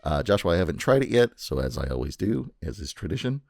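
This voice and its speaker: A man's voice: low voice, big pitch swings, quick pace, vocal fry, darkish tone, dry recording. A man hosting a podcast in casual chat, playfully teasing and swearing freely. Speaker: podcast host.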